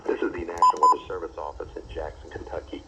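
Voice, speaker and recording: A man with an extremely deep voice delivers a formal scripted announcement at a fast clip. He is heard through a weather radio, dull in tone and buried in heavy noise. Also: huge pitch swings. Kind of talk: scripted public warning test announcement. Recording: noisy, muffled weather radio receiver playback.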